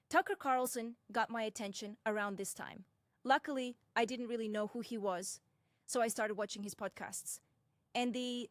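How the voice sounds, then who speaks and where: A young woman from Russia, narrating her own experience in a measured, deliberate way with frank asides. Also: on the high side, dry recording. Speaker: personal commentator. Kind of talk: first-person reflective narration.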